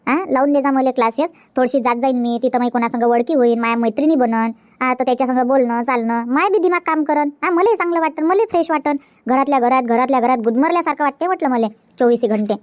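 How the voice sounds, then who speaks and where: A woman's voice: high-pitched, fairly even intonation, quick pace, hardly any pauses, darkish tone, no room sound, lightly acted. A woman voicing a chatty young newlywed wife in a comedy cartoon, who nags and repeats herself. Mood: pleading. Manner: repetitive, nagging, talks in a rush. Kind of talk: Marathi comedy cartoon dub.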